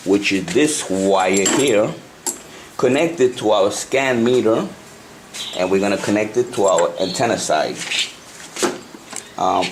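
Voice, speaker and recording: A man giving an unscripted hands-on tutorial, talking haltingly and repeating himself as he works through the steps. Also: lowish voice. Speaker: hobbyist presenter of a CB radio tutorial. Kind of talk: unscripted hands-on how-to demonstration. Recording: noisy amateur home video.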